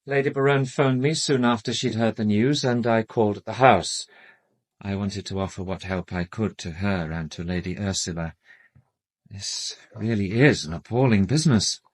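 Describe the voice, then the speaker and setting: An actor with an upper-class English accent playing an urbane, haughty private doctor who speaks in a measured, formal, disdainful way in a radio drama. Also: male voice, lowish voice, natural voice. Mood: smooth, formal.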